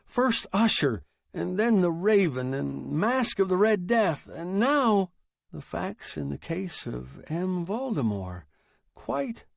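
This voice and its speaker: Guy doing a concerned polite neighbour in a story, lively pitch, gravelly, bit hesitant. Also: slow pace, dry recording, studio quality, heavily acted. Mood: puzzled. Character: Perry, politely concerned, uneasy male neighbour.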